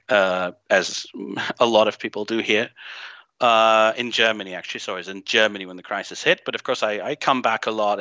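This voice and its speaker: A man from Greece with an unusual mixed accent, talking as an interview guest in a halting, emphatic, opinionated way. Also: fairly low pitch, sharp tone, clean tone, no room sound. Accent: unusual mixed foreign-tinged. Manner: halting, emphatic, thinking aloud. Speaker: podcast guest, game writer and designer. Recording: dull, band-limited online audio.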